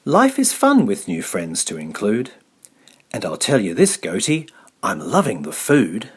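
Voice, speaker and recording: A man's voice: lowish voice, huge pitch swings, a little slow, breathy edge, exaggerated voice. A man voicing a friendly, delighted female sheep in a children's storybook. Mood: happy. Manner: cheerful, welcoming.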